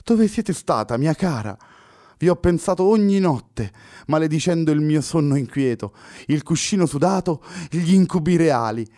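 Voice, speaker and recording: A man plays a lovesick poet in a storybook tale, his voice pushed high above his usual register and trembling with disbelief. He sounds breathless. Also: drawn-out words, vocal fry, long pauses, dark tone, breathy edge, no room sound, studio quality, exaggerated voice. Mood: trembling longing.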